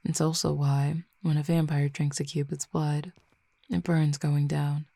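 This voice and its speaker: A dominant, teasing fallen Cupid, played by a woman in an audio roleplay. The voice sits low and dark with a gravelly edge, held level with little rise and fall. It speaks slowly and closely to the listener, slipping into whispers. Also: little pitch movement, no echo, full character voice. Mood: calm explaining. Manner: slow, measured, intimate, with soft whispered stretches. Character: commanding, teasing fallen Cupid, not human.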